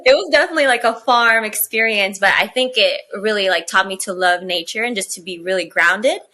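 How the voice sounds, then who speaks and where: Bright-voiced woman, chatty. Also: mid-range voice, a little fast. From Kazakhstan. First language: Russian. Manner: chatty, full of "like" and "you know" fillers. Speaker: guest rapper. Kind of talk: casual interview storytelling.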